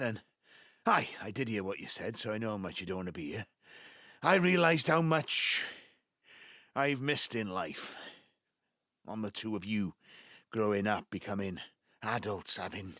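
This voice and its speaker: A man's voice: medium pitch, sharp tone, no room sound, clean studio sound, heavily acted. An actor playing a proud, sentimental estranged father in his seventies with a Welsh accent, in a naturalistic audio drama. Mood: regretful. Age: 70s.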